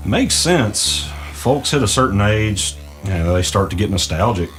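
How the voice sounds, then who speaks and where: A low male voice performed by an actor plays a cautious small-town man in an audio drama. He is plainspoken and skeptical, conversational and slightly hesitant. Music plays faintly behind him. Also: expressive, unhurried, fairly bright tone. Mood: curious. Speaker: character in a mystery audio drama.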